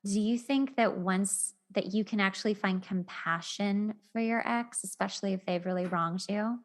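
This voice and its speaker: A woman's voice: mid-range voice, moderate pace. A woman hosting a podcast in a casual, conversational interview style, informal and encouraging. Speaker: podcast host.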